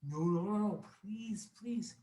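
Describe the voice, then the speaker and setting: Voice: mid-range voice, normal speed, a little mellow, recorded from a distance, pitched above the performer's own voice, close to an everyday voice. A storyteller voicing an embarrassed, unwelcome male wedding guest who begs repeatedly in a spoken moral tale. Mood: pleading. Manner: beseeching, repetitive pleas. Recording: echoey room.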